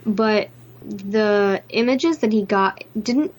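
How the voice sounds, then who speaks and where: A woman's voice: mid-range voice, takes it slowly. A woman co-hosting a podcast, discussing a film casually and with enthusiasm. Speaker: podcast co-host.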